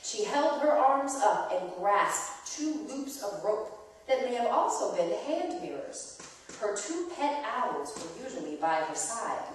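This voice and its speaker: A woman playing a gentle biblical aunt, telling a myth to children in an expressive, deliberate, soothing way. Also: medium pitch. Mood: gentle storytelling. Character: gentle storytelling aunt Tamar from the Hebrew Bible. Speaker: narrator in a staged myth reading. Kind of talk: dramatic storytelling to children. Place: church performance space. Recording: echoey church hall, far from mic.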